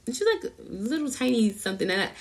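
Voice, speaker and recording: A middle-aged woman from Trinidad with a light New York accent, talking casually with her daughter in a crisp, carefully enunciated, news-reporter-like way. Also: a little high, animated, fast, a crowd in the background. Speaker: host, mother of co-host. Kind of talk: casual chat.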